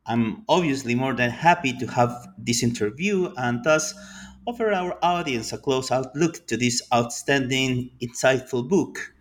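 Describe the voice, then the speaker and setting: A man hosting an academic interview podcast, polite and formal, with some halting fillers. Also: medium pitch. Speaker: podcast host.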